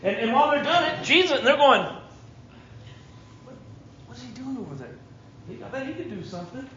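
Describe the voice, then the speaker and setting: High-pitched American man preaching a sermon. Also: lively intonation, a little fast, sharp tone, highs cut off. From United States. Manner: repeats phrases for emphasis, conversational.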